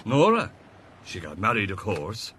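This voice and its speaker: Worldly Irish army captain, avuncular, animated intonation, blunt, familiar, radio drama. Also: male voice, on the low side, a little slow, slightly rough, heavily acted. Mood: wry.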